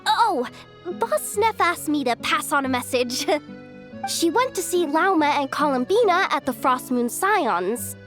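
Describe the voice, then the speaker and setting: A cheerful young courier girl, high and very lively in pitch. She is playful, casual and a bit mercenary, a video game character over light music. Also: unhurried. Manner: breezy, chatty, playful.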